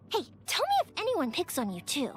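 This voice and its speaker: High, bubbly young fireworks maker. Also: female voice, full character voice. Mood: spirited, playful. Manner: bubbly, expressive, earnest. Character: cheerful, playful young woman fireworks maker, warm-hearted festival lover. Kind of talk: anime-style video game character voice lines. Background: music.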